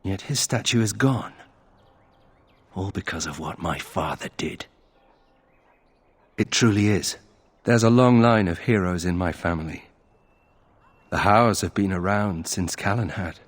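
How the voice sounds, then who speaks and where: An actor playing a brooding young nobleman of a disgraced family in a video game, husky, wry and rueful in a conversational way. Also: sits low, a little slow, pauses often. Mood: wry musing, then bitter regret. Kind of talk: video game character acting.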